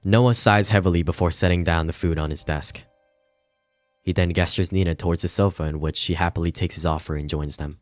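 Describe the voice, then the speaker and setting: A man's voice: deep voice, fairly even intonation, a little fast, no echo, clean studio sound. A man streaming a visual novel, reading the narration aloud with casual asides and some stumbles over the words. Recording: music behind the voice.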